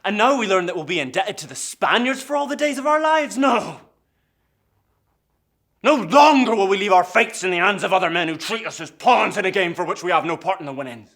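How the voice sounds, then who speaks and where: A man playing an embittered, defiant pirate mutineer in a theatrical monologue, brooding and swinging between hushed menace and impassioned outbursts. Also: lowish voice, moderate pace. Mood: furious defiance. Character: embittered, defiant English pirate mutineer, a former pressed sailor.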